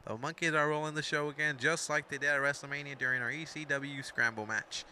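A young man calls a wrestling game in a loose, rambling play-by-play, stopping to correct himself and toss in asides. His voice is very bright and sharp, over a faint hiss of noise. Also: a little high, steady tone, fast. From Mexican. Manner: rambling, chatty, self-interrupting with asides. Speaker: fan commentator of a wrestling video game storyline. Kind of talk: casual play-by-play commentary.

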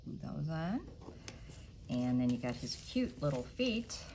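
A middle-aged woman, a children's librarian, reading a storybook aloud with animated sound effects and then walking kids through a craft, recorded on a lapel mic in a library room. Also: sits low, measured pace, a little hiss or hum.

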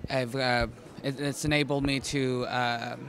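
A man from Arizona introducing his evening wear line at a press junket, halting with many fillers. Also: medium pitch, little pitch movement, noisy background. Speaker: fashion designer. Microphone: handheld mic.

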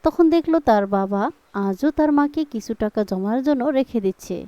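A woman's voice: a little high, animated, normal speed, mellow, no room sound. A woman reading scripted storybook narration for a children's cartoon.

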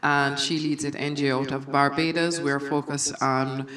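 A woman from Jamaica introducing herself on a conference panel, with many pauses and fillers. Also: a bass voice, level tone, a crowd in the background. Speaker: NGO representative. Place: conference room. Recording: distant mic in conference room.

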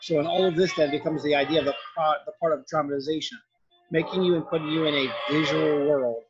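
A man's voice: on the high side, steady tone, fast. A man lecturing informally over slides and clips, explaining in a loose, improvised way. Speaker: art history lecturer. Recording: dull, lo-fi lecture recording.

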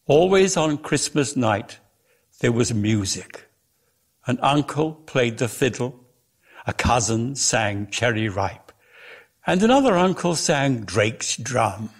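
A man giving a measured, expressive dramatic reading of literary prose as a storyteller. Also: mid-range voice. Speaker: narrator, reader.

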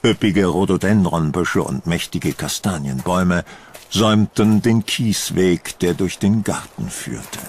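A man narrates a horror story with a lowish, gravelly voice. His delivery is slow and dramatic, with wide swings in pitch. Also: lively intonation, slight background noise.

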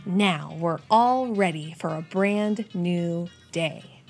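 A woman's voice: lowish voice, soft background music. A young woman host reading a picture book aloud expressively for young children. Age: young adult. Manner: animated, deliberate delivery for young children. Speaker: children's storytime reader and host.

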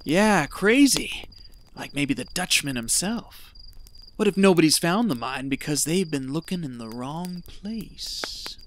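An actor playing a greedy, callous treasure hunter in an audio drama, talking in a scheming, folksy, persuasive way. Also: male voice, on the high side, expressive, unhurried, a bit bright, slight background noise.

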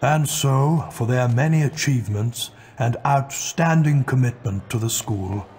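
Game role: man playing elderly wizard headmaster, stately, kindly, very unhurried ceremonial delivery. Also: mid-range voice, darkish tone, a little hiss or hum, lightly acted. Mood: stately, pleased. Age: older. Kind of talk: formal speech in a video game.

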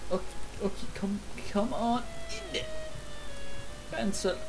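A woman's voice: medium pitch, steady tone, a little slow, music in the background, poor audio. A woman playing a video game, chatting in a goofy, mock-dramatic way with her tools as if they were old friends. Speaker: gaming YouTuber. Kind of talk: comedic let's play commentary.

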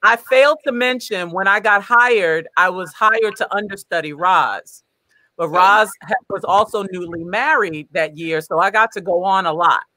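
A woman with a bright voice tells a lively story over a video call. Her sound is close and dry. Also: mid-range voice, normal speed, no room sound, poor audio. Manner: lively anecdote teller. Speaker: guest performer. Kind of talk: casual video call chat.

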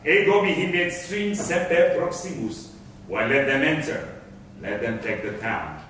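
A man's voice: high-pitched, animated, measured pace. An actor playing a wealthy, shrewd, self-serving merchant and father in theatrical classical stage verse. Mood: scheming. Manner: theatrical, scheming. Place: theatre stage with music stands. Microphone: far camera. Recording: echoey hall, recorded from far off.

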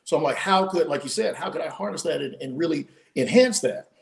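A man in his forties, a podcast guest telling his story in an animated way with lots of restarts, his voice starting to wear out, speaking over a video call from home. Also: on the high side, brisk, creaky. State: losing his voice. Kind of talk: casual interview storytelling. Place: home room, indoors.

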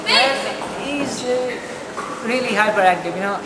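A young man giving an excited, praising testimonial. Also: high-pitched, unhurried. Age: young adult. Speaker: seminar attendee. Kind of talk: enthusiastic testimonial. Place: large indoor hall. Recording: distant, echoey hall with crowd and hiss.

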